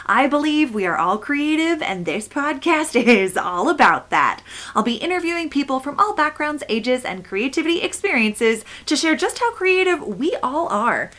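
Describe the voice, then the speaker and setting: Bright-voiced woman hosting a podcast. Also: high voice, big pitch swings, normal speed. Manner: upbeat and encouraging, laughs easily. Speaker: podcast host.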